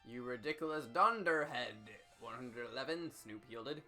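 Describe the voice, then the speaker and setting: A man with a bright, forceful voice reads fan fiction aloud as comedy. His delivery is theatrical and heavily emphatic, over music in the background. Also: mid-range voice, moderate pace. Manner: theatrical, emphatic, with sudden shouts. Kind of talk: over-dramatic comedic reading.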